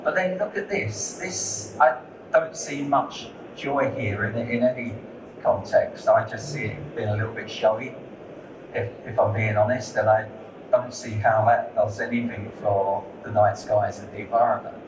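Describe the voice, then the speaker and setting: A man talking as a lighting designer on a conference panel. He speaks candidly and conversationally, repeating words as he gathers his thoughts. The sound is distant and roomy, with a steady noise underneath. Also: lowish voice, normal speed. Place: conference hall. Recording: echoey hall, distant mic, noisy.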